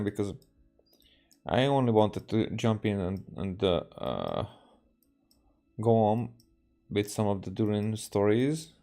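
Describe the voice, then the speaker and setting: A man with a Hungarian accent giving casual gameplay commentary, halting and repeating himself. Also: lowish voice, dark tone. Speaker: game streamer.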